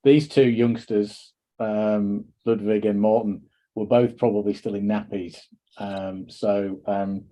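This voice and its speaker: A man's voice: on the low side, fairly even intonation, takes it slowly, mellow. Wry, rambling middle-aged man. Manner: rambling, wry, self-deprecating. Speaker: podcast host. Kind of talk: casual chat.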